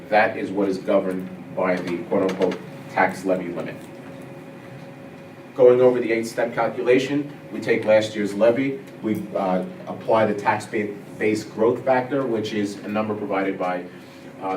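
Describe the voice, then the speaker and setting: Low-voiced man presenting in an echoey room. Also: distant mic. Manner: measured, explanatory, with frequent uh and um. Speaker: school administrator presenting budget. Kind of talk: board meeting presentation. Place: school board meeting room. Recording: echoey room picked up from afar, noisy.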